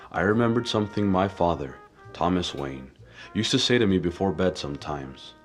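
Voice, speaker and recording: Male lead for audio drama: actor as brooding, authoritative billionaire vigilante, deep voice, deliberate and grave. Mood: reflective. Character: solemn, commanding male billionaire secretly a vigilante. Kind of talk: audio drama acting, measured speechmaking.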